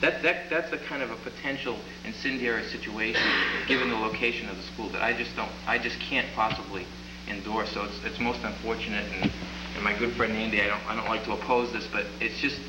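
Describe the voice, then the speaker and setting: A man in his thirties, a town councilor, speaking at a table mic in deliberate council remarks, often repeating words as he reasons. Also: on the low side, fairly even intonation. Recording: echoey chamber with loud hum.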